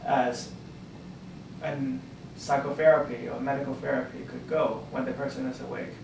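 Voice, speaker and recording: A man's voice: medium pitch, fairly even intonation, normal speed, creaky. A man interviewing a guest, rambling and restarting his questions. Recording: echoey room, far from the mic, noisy.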